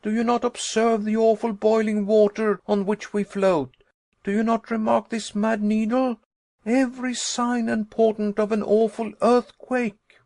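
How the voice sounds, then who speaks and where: A man reading an audiobook, voicing the narrator's terrified young self, who cries out in shrill panic. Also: level tone, unhurried, creaky, darkish tone, no echo, studio quality. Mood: panicked.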